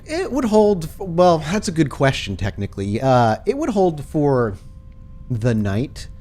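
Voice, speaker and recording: A man's voice: on the high side, huge pitch swings, unhurried, darkish tone. A man working as game master, narrating a tabletop game in dramatic, cinematic scene-setting descriptions.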